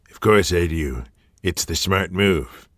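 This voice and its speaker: A domineering wrestling promoter who blusters and curses through every line, as a man puts him on. His voice sits very low and he talks slowly. The dry, close sound of an audio drama frames his bossy growl. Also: a bit bright, dry recording. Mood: scheming. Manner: blustering, swears heavily. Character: gruff aging male wrestling promoter, profane and domineering.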